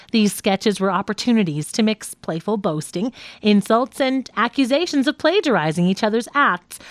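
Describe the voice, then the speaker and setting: A woman reading a scripted nonfiction audiobook as its narrator, in a measured, articulate manner. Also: medium pitch, no echo.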